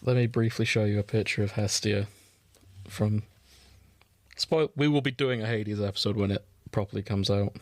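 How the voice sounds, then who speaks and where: A man's voice: lowish voice, normal speed, dry recording. A man co-hosting a podcast in casual chat, wandering off on tangents as he talks. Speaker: podcast co-host.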